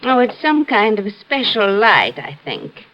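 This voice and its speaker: An actress playing a polite, reticent widow in a classic radio drama, speaking hesitantly and earnestly. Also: female voice, mid-range voice, sing-song, unhurried, slight background noise. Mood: helpful. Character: polite, anxious, reticent widow, a former typist for her husband. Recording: muffled old radio recording.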